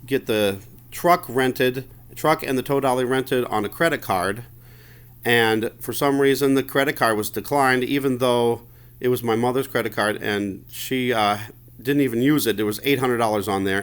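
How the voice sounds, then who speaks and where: A man giving an informal account of a grievance as a customer, halting and repetitive, sounding aggrieved. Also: medium pitch. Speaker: customer phoning in a complaint.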